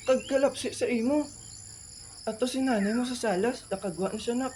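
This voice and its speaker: A woman's voice: fairly high pitch, normal speed, warm tone, slight background noise, close to an everyday voice. A woman with a Western Visayan Filipino accent playing a concerned father in an amateur radio drama. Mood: concerned, urgent.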